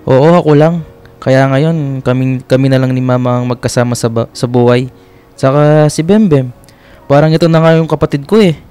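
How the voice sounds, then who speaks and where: A man's voice: medium pitch, steady tone, moderate pace, dark tone, smooth, soft background music, natural voice. A man playing a polite, shy and friendly young Filipino man in a radio love drama, hesitant and apologetic. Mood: subdued.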